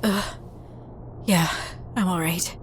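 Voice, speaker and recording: An actress playing a troubled, drowsy woman confiding haltingly in naturalistic audio drama. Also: fairly low pitch, steady tone, a little hiss or hum. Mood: troubled, groggy. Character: troubled, hesitant woman confiding in a close friend.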